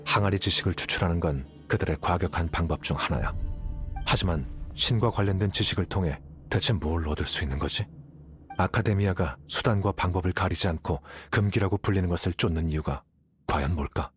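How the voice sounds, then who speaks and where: An actor playing an aloof, rational young scholar in an anime game, coolly self-assured, speaking in a measured, matter-of-fact way. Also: male voice, low-pitched, faint music behind, close to an everyday voice. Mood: cool, firm.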